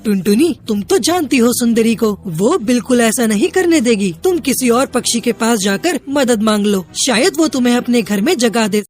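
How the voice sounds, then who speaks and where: A woman's voice: on the high side, animated, normal speed, hardly any pauses, darkish tone, faint music behind, natural voice. A woman voicing an apologetic, henpecked male crow in a children's cartoon story. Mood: reluctant.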